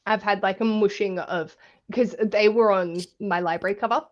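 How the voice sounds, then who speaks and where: A woman's voice: medium pitch, steady tone, moderate pace, lo-fi sound. A woman co-hosting a casual, conversational book discussion.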